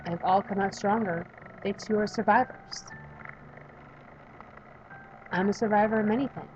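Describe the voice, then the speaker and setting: A woman in her thirties reading a visual novel aloud and chatting about it, emphatic while reading and quiet when talking about herself. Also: mid-range voice, steady tone, normal speed, dull audio, a little hiss or hum. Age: 30s. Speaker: let's play streamer reading a visual novel. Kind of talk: reading game text aloud and commenting.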